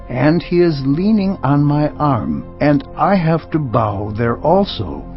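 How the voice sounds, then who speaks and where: Emphatic, swooping army commander. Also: male voice, medium pitch. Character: proud, hot-tempered army commander turned humbly grateful. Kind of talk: dramatized scripture audiobook acting. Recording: dull lo-fi sound, faint music bed.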